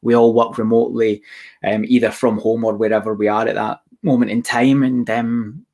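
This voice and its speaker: A young man from Scotland with a softened Glaswegian accent, answering questions about his business in a casual, rambling way full of restarts. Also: mid-range voice, flat delivery, moderate pace, creaky. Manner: rambling, many restarts and fillers. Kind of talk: casual Q&A chat.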